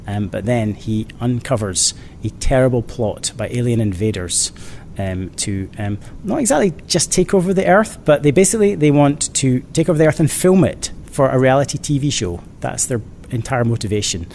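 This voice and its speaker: A man's voice: expressive, normal speed, a little mellow. A man answering interview questions as a children's author, in a casual way with dry, playful humour. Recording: clear background noise, low rumble.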